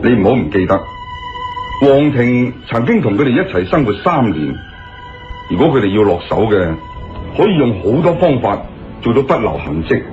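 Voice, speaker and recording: A man's voice: mid-range voice, sing-song, normal speed, highs cut off, over music, close to an everyday voice. A man playing a brusque, sceptical police colonel in a Cantonese radio drama. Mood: argumentative.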